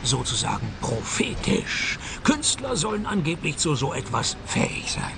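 An actor playing a wry, sardonic private detective in a radio play, with a dry, deadpan, mocking delivery. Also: male voice, on the high side, normal speed, creaky, very noisy. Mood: dry teasing. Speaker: lead actor and narrator. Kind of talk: radio detective play, scripted narration and dialogue.

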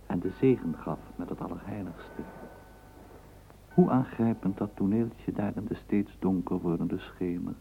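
An actor narrating a literary radio play as a measured, lyrical male storyteller. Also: deep voice, creaky. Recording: muffled, noisy old radio play recording.

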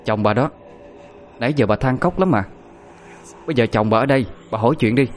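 A man's voice: medium pitch, fast talker, a little hiss or hum, lightly acted. A man voicing a stern, scornful woman spirit medium who gives orders in a powerful, authoritative way. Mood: scornful command. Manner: imperious, issuing sharp orders.

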